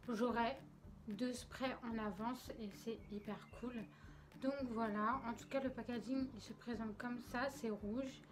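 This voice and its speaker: A young woman with a slight cold in her throat, chatting casually and giggling as she unboxes makeup. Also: fairly high pitch. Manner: chatty, filler-heavy, giggly. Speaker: beauty vlogger.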